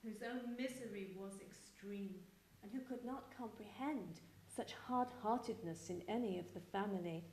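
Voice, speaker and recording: A woman giving a live dramatic reading of literary narration, measured and expressive. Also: medium pitch, low-quality recording. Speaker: actress reading a novel aloud.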